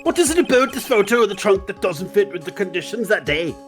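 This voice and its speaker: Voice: mid-range voice, animated, fast talker, fairly bright tone, soft background music, close to an everyday voice. Someone putting on a pompous old courtroom judge, swooping delivery. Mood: puzzled. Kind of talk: live fan dub of courtroom game.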